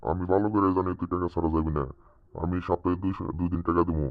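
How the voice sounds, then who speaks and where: A man's voice: deep voice, flat delivery, rapid-fire, no echo, phone-quality sound, lightly acted. A man voicing a stingy, scolding uncle in a rhyming comic cartoon. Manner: scolding, rhyming back-and-forth delivery. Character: stingy, scolding uncle worn down by household money troubles.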